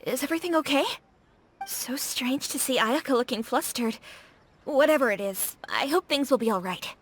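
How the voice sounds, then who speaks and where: A woman's voice: high voice, animated, moderate pace, slight background noise. A young woman voicing an upbeat restaurant owner in a game, eager and exclamatory. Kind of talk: game voice acting.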